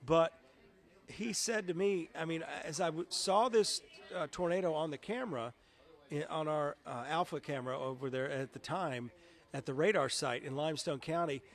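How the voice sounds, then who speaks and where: A man's voice: a little high, moderate pace. A middle-aged man, a television meteorologist, chatting in a casual interview and telling stories in a conversational way. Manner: conversational, anecdotal.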